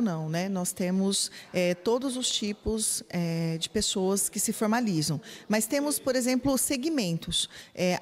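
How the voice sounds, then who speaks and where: A woman's voice: mid-range voice, big-room sound. A woman, a business analyst answering interview questions in a chatty way, with many hesitation fillers, in an office. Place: office indoors. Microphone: handheld microphone.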